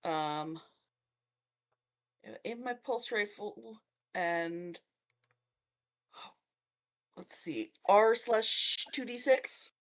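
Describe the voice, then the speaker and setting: A woman's voice: medium pitch, extremely slow, pauses often. A woman chatting casually as a player in a tabletop roleplaying game.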